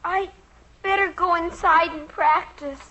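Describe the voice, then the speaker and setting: A woman's voice: extremely high pitch, fairly even intonation, measured pace, bright, forceful tone, highs cut off, exaggerated voice. A woman acting a plaintive, earnest little American girl who fibs, in a 1950s radio sitcom. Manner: plaintive, childlike. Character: little girl, earnest, evasive fibbing daughter. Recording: old radio recording, faint noise.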